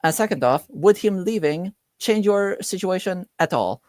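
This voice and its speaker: A woman's voice: on the low side, lively intonation, moderate pace, a little mellow, no echo, clean studio sound. A woman playing a tabletop roleplaying game, mixing casual in-character banter with bits of narration, teasing and repeating words for emphasis.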